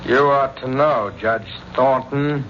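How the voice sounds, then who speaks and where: A man's voice: mid-range voice, slight background noise, natural voice. An actor playing the mocking, menacing ghost of a dead man in 1940s radio style. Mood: taunting, menacing. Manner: slow, taunting. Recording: muffled old radio recording with music.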